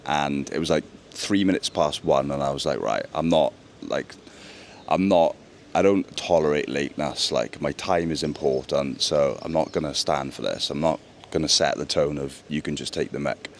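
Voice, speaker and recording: A young man with a deep voice, chatting earnestly to camera in a casual vlog. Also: slight background noise. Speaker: vlogger. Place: indoors, then outdoors by a pool.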